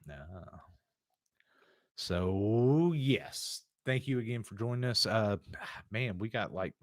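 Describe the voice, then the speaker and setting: A man's voice: lowish voice, slow, no echo, studio quality. A man co-hosting a casual livestream chat, talking in a rambling, laid-back way full of fillers. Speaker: podcast co-host.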